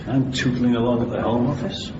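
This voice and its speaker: An actor playing a gossipy Home Secretary in a radio drama, with an arch, conspiratorial manner. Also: male voice, mid-range voice, steady tone, fairly quick, close to an everyday voice. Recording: echoey, distant, dull, noisy.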